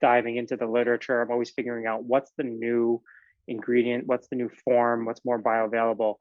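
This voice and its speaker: A man's voice: mid-range voice, little pitch movement, a little fast, no room sound, narrow, tinny audio. A man whose first language is Italian, a physician guest in a casual podcast interview, explaining earnestly in long runs with fillers.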